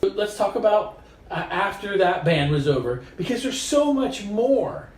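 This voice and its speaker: A podcast host breaks in with short reactions and guiding questions, and the pitch moves around a lot. The voice sits at a distance from the mic in a room with plenty of echo. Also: lively intonation, measured pace, darkish tone. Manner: brief interjections, guiding questions. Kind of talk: casual interview.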